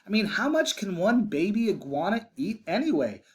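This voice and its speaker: A man's voice: sits high, very animated, moderate pace. A man voicing an eager young boy in a storybook, earnest and wheedling as he tries to persuade his mother. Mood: pleading. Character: eager pleading young boy writing letters to mom. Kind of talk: storybook read-aloud for children.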